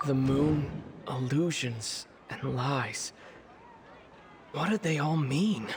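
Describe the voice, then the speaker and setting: A brooding, weary man from a video game, thinking aloud in a reflective monologue. His voice sits at a medium pitch, with clearly audible ambience behind it. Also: slow, noisy background. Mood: weary, pondering.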